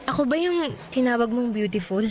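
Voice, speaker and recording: Woman acting a bashful, high-voiced young woman. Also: lively intonation, fairly quick, darkish tone, lightly acted. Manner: sweet, hesitant, bashful. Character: shy, admiring young woman, new office employee. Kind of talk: Filipino radio drama acting.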